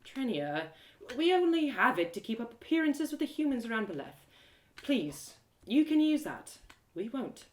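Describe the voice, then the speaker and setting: A woman's voice: fairly high pitch, sing-song, normal speed, voice pushed up. A woman voicing a plucky, wry human heroine in a storybook reading, with an informal manner. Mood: alarmed.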